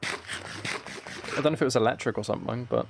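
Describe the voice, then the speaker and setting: A man streaming a game, chatting casually in a relaxed ASMR style, rambling along in a self-deprecating way. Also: medium pitch, moderate pace, creaky, background noise. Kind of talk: casual livestream chat while gaming, relaxed ASMR.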